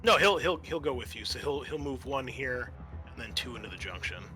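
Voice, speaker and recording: A man's voice: medium pitch, very animated, fairly quick, pushed and bright, soft background music. A man running a tabletop role-playing game as game master, talking casually and swearing freely.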